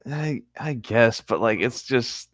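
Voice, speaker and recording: A man's voice: medium pitch, slow pace, no echo, studio quality. A man chatting casually and reading a novel aloud among friends. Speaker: co-host reading a book aloud for commentary.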